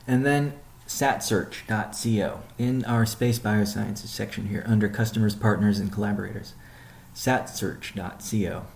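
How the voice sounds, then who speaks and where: A man leading an informal business update call as a chief executive, explaining things in a measured way with pauses mid-sentence. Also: on the low side, steady tone, gravelly. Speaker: company CEO hosting a weekly update call. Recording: roomy, echoing sound.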